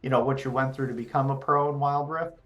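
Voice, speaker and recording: A man's voice: medium pitch, fairly even intonation, fast, dull audio, faint music behind. A man hosting an interview, asking questions in a friendly, hesitant way with lots of fillers. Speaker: interviewer, channel host.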